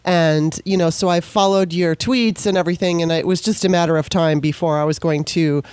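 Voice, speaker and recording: A woman's voice: lowish voice, steady tone, fairly quick, darkish tone, clean tone, no room sound. A woman hosting a podcast, chatting casually, rambling a little with many fillers and laughing easily. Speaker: podcast host. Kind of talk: casual interview chat.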